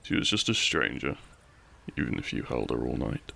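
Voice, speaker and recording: A man playing a lovestruck, cheeky young bandit outlaw in an intimate romantic audio roleplay, soft and conversational, with a self-deprecating streak. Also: extremely low pitch, sing-song, moderate pace, creaky, slightly rough, exaggerated voice. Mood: wistful, downcast. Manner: soft, conversational, with sighs and chuckles.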